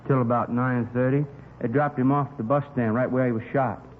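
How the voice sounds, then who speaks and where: A man's voice: mid-range voice, steady tone, fairly quick, phone-quality sound, close to an everyday voice. A man playing an eager, plain-spoken citizen with information for police in a 1950s radio drama, speaking folksy, ungrammatical English. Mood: eager, helpful. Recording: crackly, thin 1950s radio recording.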